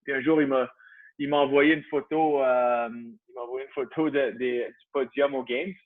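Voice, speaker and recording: A man's voice: medium pitch, fairly even intonation, moderate pace. A man in his thirties with a Canadian French accent, a guest in a casual interview, talking hesitantly with many restarts. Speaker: guest, CrossFit gym owner. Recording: tinny phone-like line.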